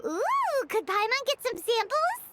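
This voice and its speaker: A woman voicing a tiny, excitable, greedy fairy companion in a video game, with a squeaky childlike voice and an exclamatory manner. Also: unhurried, exaggerated voice. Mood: eager. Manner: refers to self in third person, exclamatory. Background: music.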